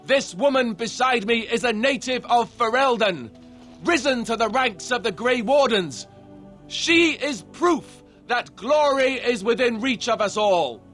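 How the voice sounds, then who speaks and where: A man's voice: extremely high pitch, a bit bright, exaggerated voice. A man voicing a young warrior in a video game, wry and earnest, an awkward reluctant leader. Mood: rousing, solemn. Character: young male warrior, awkward, sardonic, reluctant heir turned rallying leader. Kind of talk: video game dialogue acting.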